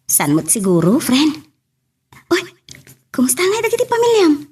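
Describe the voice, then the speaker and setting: A woman's voice: sits high, huge pitch swings, normal speed, a little mellow, recorded from a distance. A woman acting an exuberant, chatty friend in an audio drama, with an Ilocano Filipino accent. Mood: curious. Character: exuberant, chatty female friend working as household help.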